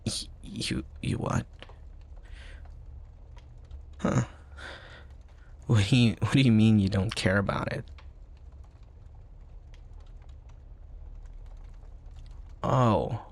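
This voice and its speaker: Man acting a husky, low-voiced protective best friend. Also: measured pace. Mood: puzzled, surprised. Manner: soft, sighing, hesitant stammers, breathy asides. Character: young male college student best friend, protective, teasing, tender. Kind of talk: intimate ASMR boyfriend roleplay audio.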